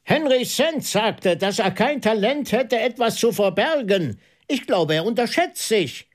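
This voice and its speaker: High-voiced, deliberate priest detective. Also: male voice, lively intonation. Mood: wry, pointed. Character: shrewd, thoughtful priest detective explaining a murder. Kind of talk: German radio drama acting.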